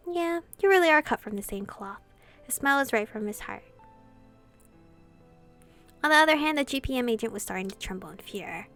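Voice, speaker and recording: Female game streamer, slightly high pitch, reads story lines aloud with dramatic, sweary reactions, music underneath. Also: fairly quick, pauses often. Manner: expressive, foul-mouthed, theatrical reactions. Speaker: let's-play host. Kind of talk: reading visual novel dialogue aloud with live reactions.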